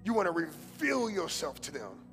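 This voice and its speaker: A man preaching a sermon as a pastor, mixing casual slang with fervent preaching that swings between loud urgency and hushed prayer. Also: on the high side, very animated, moderate pace, in a large hall, soft background music. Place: church sanctuary.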